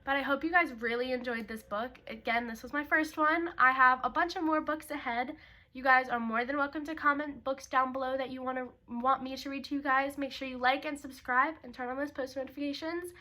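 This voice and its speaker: A woman's voice: high-pitched, fairly even intonation, brisk, pushed and bright. A young woman hosting a read-aloud for young children, speaking in an informal, chatty way. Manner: informal, chatty, addressing kids as "you guys". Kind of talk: casual intro talk and storybook read-aloud for young kids.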